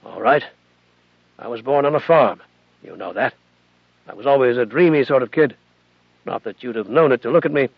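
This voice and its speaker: A man's voice: medium pitch, moderate pace, creaky, pauses often, breathy edge, dry recording. Man doing a worn, fatherly colonel. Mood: gentle. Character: weary male colonel, tender and worn. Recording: dull lo-fi recording.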